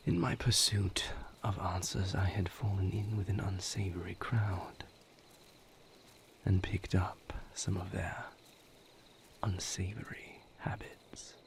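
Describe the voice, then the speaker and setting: A man playing a brooding vampire lover in an intimate audio roleplay, confiding his grim past in a halting, somber, breathy near-whisper. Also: sits low, steady tone, heavily acted. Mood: weary, regretful.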